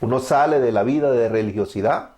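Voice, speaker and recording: A man's voice: mid-range voice, a little fast, a little mellow. A man in his thirties from El Salvador, with a Salvadoran accent, preaching his testimony fervently and answering his own questions. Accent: Salvadoran Spanish. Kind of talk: evangelical testimony sermon.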